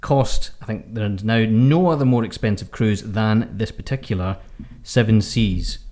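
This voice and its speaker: A man's voice: on the low side, unhurried, darkish tone. Jokey Scottish man co-hosting a podcast. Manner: jokey, enthusiastic, fond of hyperbole. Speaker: podcast co-host, cruise editor. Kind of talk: casual podcast chat.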